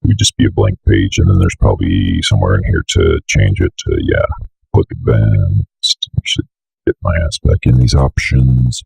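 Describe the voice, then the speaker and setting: A man's voice: extremely low pitch, wildly swooping pitch, normal speed, vocal fry, a little mellow, slightly rough, poor audio. A young man giving a casual screen-share tutorial, rambling and thinking aloud as he works. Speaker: tutorial presenter. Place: indoors at a computer desk.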